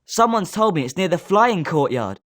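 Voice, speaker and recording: A man's voice: sits high, big pitch swings, moderate pace, fairly bright tone. A male actor playing a smug, rivalrous schoolboy at a wizard school in scripted video game lines. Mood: informative.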